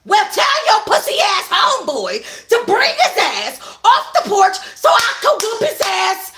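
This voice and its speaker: A woman's voice: extremely high pitch, wildly swooping pitch, unhurried, sharp tone, echoey room, pitched above the performer's own voice, exaggerated voice. A woman voicing a furious, scorned young woman who screams taunts in a foul-mouthed, confrontational way, in an audiobook-style story reading. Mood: enraged.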